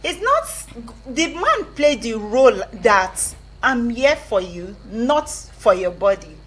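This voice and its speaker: Lively high Nigerian woman, slangy. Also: slow. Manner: animated, uses slang, laughs often. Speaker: radio co-host. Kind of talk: casual radio chat reviewing a film. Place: radio studio.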